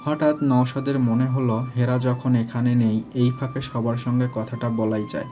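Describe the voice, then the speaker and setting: Male narrator for scripted audio fiction, dark warm tone, little pitch movement, light music underneath. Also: little pitch movement, normal speed. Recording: faint music behind.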